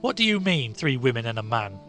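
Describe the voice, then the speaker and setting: A man voicing an ill-mannered, scornful schoolboy scout with a sneering, insolent delivery, pitched well above his own voice. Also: sing-song, a little fast, fairly bright tone, soft background music.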